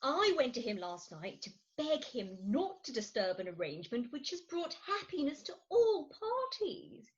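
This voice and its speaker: High-pitched, theatrical wife, played by an actress. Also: female voice, very animated, normal speed, few pauses. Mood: pleading. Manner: theatrical, emphatic. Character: Agatha Posket, dramatic middle-aged Victorian wife and indignant, cajoling mother. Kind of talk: comic Victorian stage play reading. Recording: dull lo-fi remote recording.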